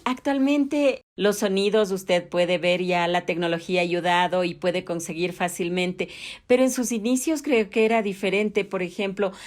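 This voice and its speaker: Female interviewer. Middle register, conversational questioning, courteous formal address. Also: mid-range voice.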